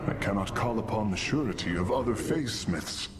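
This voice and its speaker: An actor playing an earnest, melancholy alien engineer in a video game, speaking formally and carefully, with deference. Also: male voice, lowish voice, lively intonation, normal speed, a loud crowd, close to an everyday voice. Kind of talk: video game cinematic acting. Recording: loud music over game cinematic.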